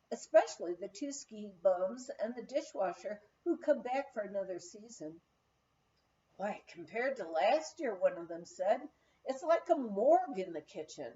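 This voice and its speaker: Woman, audiobook memoir narration, unhurried pace, mellow tone, expressive, slightly muffled recording. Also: medium pitch. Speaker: audiobook reader.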